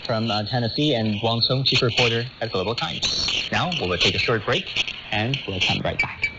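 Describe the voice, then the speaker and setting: Male radio host buried in loud static. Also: mid-range voice, normal speed. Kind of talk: current affairs interview moderation. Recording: muffled shortwave radio, heavy static. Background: loud shortwave static.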